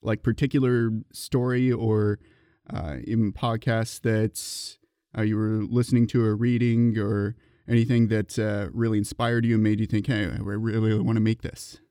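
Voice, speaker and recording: A man's voice: on the low side, little pitch movement, moderate pace, mellow, smooth, dry recording, clean studio sound. A man hosting a casual interview, asking questions in a conversational way. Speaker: podcast interviewer, host.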